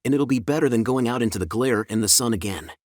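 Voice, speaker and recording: A man's voice: medium pitch, fast, a little mellow, no room sound, clean studio sound, natural voice. A man playing a decisive eldest schoolboy who leads, in an urging manner, for a storybook audio drama. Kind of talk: audio drama character reading.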